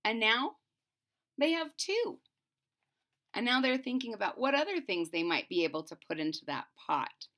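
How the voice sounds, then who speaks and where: A woman reading a picture book aloud for children, pausing to explain it simply in a deliberate, teacherly way. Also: on the high side, big pitch swings, a bit bright.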